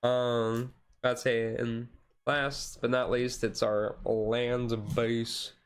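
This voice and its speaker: A man streamer giving a casual, chill card-deck walkthrough, halting and self-correcting, his voice a little tired. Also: medium pitch, flat delivery, clean tone. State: voice tiring. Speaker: streamer, card game hobbyist.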